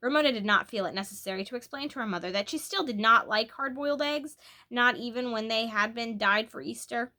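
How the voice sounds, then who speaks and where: A woman reading a children's book aloud as a storyteller, in an expressive, animated way that leans on key words. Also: fairly high pitch, fairly quick.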